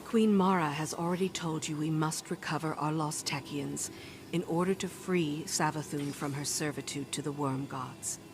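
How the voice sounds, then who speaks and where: Casting: firm female officer for game briefing, deep register, dutiful, noisy ambience behind. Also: fairly even intonation, moderate pace. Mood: instructive. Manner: firm, instructive. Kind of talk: video game mission briefing.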